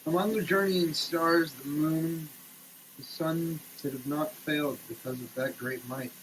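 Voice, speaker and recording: A man giving a theatrical, declamatory dramatic reading, swinging between hushed delivery and shouting, as a comic performance. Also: on the high side, steady tone, measured pace, a little hiss or hum, poor audio. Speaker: sketch comedy performer. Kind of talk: dramatic reading of a product label. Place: shower.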